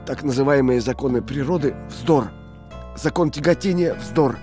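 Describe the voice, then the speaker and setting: An actor playing a broken but inwardly defiant political prisoner in an audio drama, halting and brooding in his delivery. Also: mid-range voice, expressive, warm tone, soft background music, natural voice. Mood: dull, reciting.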